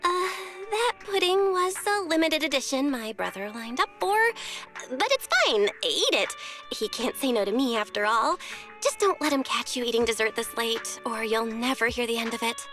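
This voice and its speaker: A woman voice acting a composed, caring young woman in a video game, calm and measured, gently teasing yet determined. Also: fairly high pitch. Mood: generous, teasing. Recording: music behind the voice.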